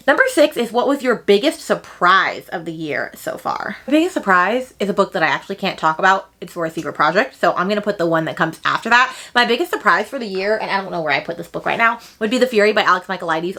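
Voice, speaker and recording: A woman's voice: on the low side, pushed and bright. A young woman chatting casually to camera as a book reviewer, gushing and slangy, repeating words for emphasis. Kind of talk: casual enthusiastic chat to camera.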